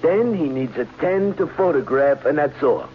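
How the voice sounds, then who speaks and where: An actor playing a dim-witted, trusting sidekick who stammers eagerly in a radio drama. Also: male voice, on the high side, lively intonation, moderate pace, a little hiss or hum, lightly acted. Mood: insistent. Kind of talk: 1940s radio acting. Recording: tinny old radio recording with music.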